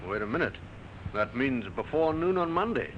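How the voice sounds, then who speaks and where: A calm, probing male police inspector played by an actor in an old radio play. His mid-pitched voice moves up and down freely as he questions methodically. The recording is muffled, with faint noise. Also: normal speed, lightly acted. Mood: businesslike. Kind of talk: old-time radio drama acting.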